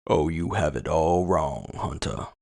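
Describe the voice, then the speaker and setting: A male narrator's take on a theatrical cyberpunk villain in audio fiction. The voice sinks very low into a hollow, gravelly murmur. It moves slowly, with mocking courtesy and gloating menace. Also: darkish tone, exaggerated voice. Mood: smug, gloating. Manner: slow, mockingly courteous, boastful. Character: gloating, theatrical masked male villain with mind-control powers.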